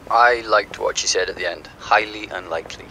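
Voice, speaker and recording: A man's voice: on the low side, brisk, close to an everyday voice. Man acting skeptical guy teasing a bit, casual audio drama chat, noisy street outside. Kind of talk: naturalistic audio drama dialogue.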